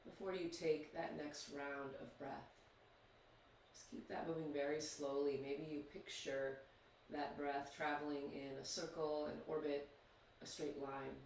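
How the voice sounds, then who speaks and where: A man leading a guided yoga meditation, speaking slowly and soothingly with long pauses. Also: fairly high pitch, steady tone, a little hiss or hum. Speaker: yoga teacher. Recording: very echoey, distant mic.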